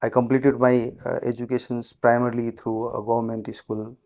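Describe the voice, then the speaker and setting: A middle-aged man from Nepal, a company finance chief, answering interview questions in a measured way with frequent restarts. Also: mid-range voice, little pitch movement, warm tone. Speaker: guest, group CFO.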